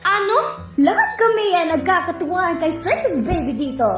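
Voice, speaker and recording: Squeaky-high, earnest young robot sidekick, played by a woman. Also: lively intonation, moderate pace, reverberant, music in the background. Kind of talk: Tagalog fan-dub cartoon acting.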